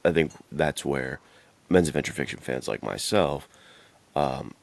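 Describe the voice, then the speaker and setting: A man with a strikingly deep voice talks in a casual podcast style. His tone has a clear gravelly creak. He reflects out loud, informally working through his thoughts as he speaks. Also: a little slow. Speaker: podcast co-host.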